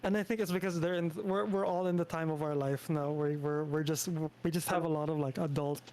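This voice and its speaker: A man's voice: on the high side, fairly even intonation, fairly quick, a little mellow. A young Filipino man chatting casually on a stream, with lots of fillers and restarts. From Philippines. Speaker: streamer and VTuber host. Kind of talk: casual collab stream chat.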